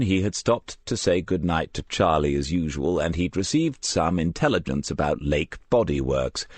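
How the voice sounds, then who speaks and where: Man, very deep voice, for crime audiobook narration. Dry studio sound. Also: no room sound. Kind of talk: scripted crime novel narration.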